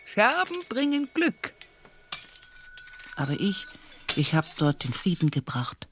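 A woman's voice: mid-range voice, huge pitch swings, slow, background noise. An actress playing an older, pious, plain-spoken village woman with an Upper Palatinate Bavarian accent, reminiscing in a folksy radio play monologue. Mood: plain, devout. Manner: folksy, earthy dialect storytelling with emphatic repetitions.

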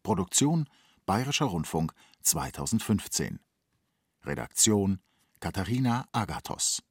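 Low-voiced male announcer, very dry sound. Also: big pitch swings, unhurried, slightly rough, dry recording. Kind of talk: scripted radio play credits.

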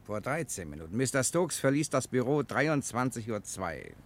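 An actor playing a cool, confident bank cashier in a German radio play, brisk and casually commanding. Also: male voice, medium pitch, very animated. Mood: cool, assured.

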